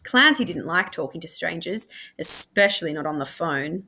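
A woman reading a children's novel aloud in an animated, expressive storytelling manner, like a school librarian. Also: on the low side, moderate pace. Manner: animated, acts out dialogue.

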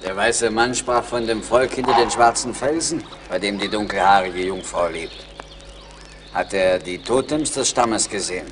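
An actor playing a dignified, noble Mohican chief in a German radio play, speaking solemnly. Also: male voice, lowish voice, normal speed, noisy background, close to an everyday voice. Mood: grave, inquiring.

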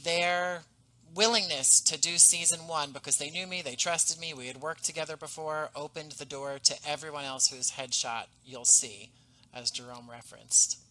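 A man in his thirties, a podcast guest, speaking in a measured, articulate way over a headset mic on a video call. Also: a little high, fairly even intonation, sharp tone, rough recording. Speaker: podcast guest, audio drama writer. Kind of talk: casual interview talk. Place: home room with painting behind.

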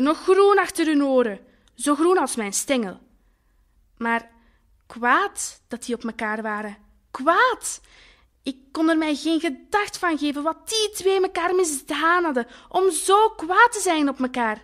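A woman playing a naive, wistful talking flower in an audio drama, with a Flemish accent and expressive colloquial storytelling. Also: high voice. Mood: earnest, bewildered. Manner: colloquial, expressive, lingering over words.

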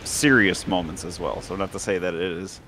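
A man's voice: deep voice, brisk, bright, forceful tone, slight background noise. A man chatting casually as a co-op gaming partner, talking in a hesitant, rambling way. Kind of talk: casual gameplay chat.

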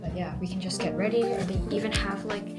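A woman's voice: mid-range voice, brisk. An eighteen-year-old woman chatting casually to a handheld camera, gushing informally and repeating words for emphasis. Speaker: vlogger. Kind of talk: casual vlog chat to camera. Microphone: handheld camera at arm's length. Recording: loud music behind the voice. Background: music.

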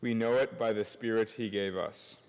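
A young man reading scripture formally and solemnly in a church service, measured, speaking into a lectern microphone. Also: on the low side, fairly even intonation, darkish tone, clear, resonant tone. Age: young adult. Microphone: gooseneck lectern microphone. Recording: reverberant church sanctuary.

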